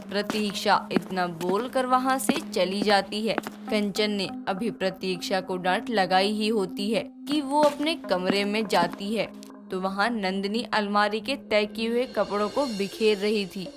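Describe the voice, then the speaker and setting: A woman's voice: mid-range voice, measured pace. A woman reading scripted narration as the storyteller of a family tale. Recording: music behind the voice.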